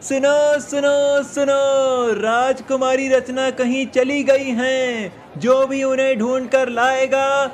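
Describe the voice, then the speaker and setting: A man's voice: extremely high pitch, little pitch movement. A man playing a declamatory royal town crier making proclamations in a cartoon story. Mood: proclaiming. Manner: drawn-out, declamatory. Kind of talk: Hindi cartoon moral story dialogue. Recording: crowd and background noise.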